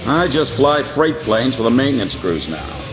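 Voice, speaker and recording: A modest old former fighter pilot acted by a man talks in a mid-pitched voice. He is good-humored and wry, poking fun at himself, while guitar music plays clearly behind him. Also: sing-song, moderate pace, natural voice. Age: older. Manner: wry, self-deprecating.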